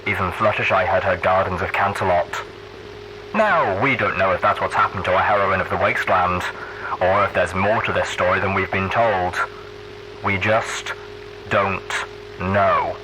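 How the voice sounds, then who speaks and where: A man's voice: fairly low pitch, noisy background, lightly acted. A man playing an earnest pony radio DJ, preaching to wasteland listeners in a folksy, solemn way, like a post-apocalyptic broadcast. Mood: grave.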